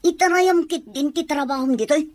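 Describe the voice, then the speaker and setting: A woman playing a gruff, scornful rural aunt who scolds and jabs sarcastically in an audio drama. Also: high voice, moderate pace, natural voice. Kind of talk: Ilocano audio drama dialogue.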